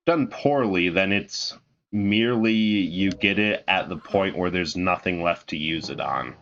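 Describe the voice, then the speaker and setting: A man casually commenting while playing a video game, rambling and breaking off mid-thought, with a deep voice he says suits villains. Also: unhurried, a bit bright, highs cut off, slight background noise. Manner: rambling, self-interrupting, laughs at himself. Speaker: game streamer.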